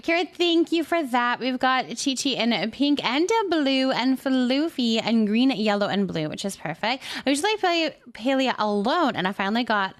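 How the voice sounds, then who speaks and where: A woman with a fairly high voice talks casually as a live streamer. She is expressive and informal, responding to her viewers in a sympathetic, conversational way. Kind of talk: casual chat with live stream viewers, reading and answering comments.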